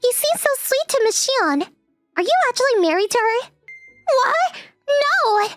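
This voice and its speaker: Very high-pitched bubbly office girl. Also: sing-song, measured pace, fairly bright tone, dry recording, studio quality, faint music behind, full character voice. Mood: curious, excitable. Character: bubbly, admiring young female office coworker. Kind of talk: anime-style manga dub.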